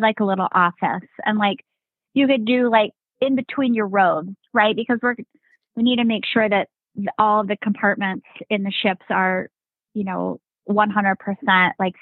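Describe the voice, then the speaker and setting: A woman's voice: medium pitch, steady tone, moderate pace, no room sound. Woman on a tinny, dry phone line. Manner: rambling, fills with "like" and "right?". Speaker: podcast guest, Navy veteran. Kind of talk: casual storytelling chat.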